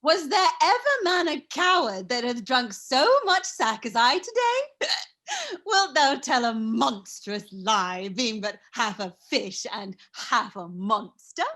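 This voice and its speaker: A woman's voice: fairly high pitch, very animated, takes it slowly, bright, forceful tone, heavily acted. A woman playing a tipsy, cowardly, mocking jester who whines in a Shakespearean radio play.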